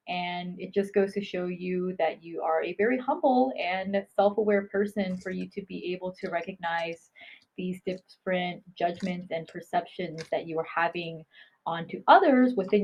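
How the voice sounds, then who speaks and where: A woman student recording an enthusiastic, encouraging video reply to a classmate. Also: fairly low pitch. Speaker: student giving peer feedback.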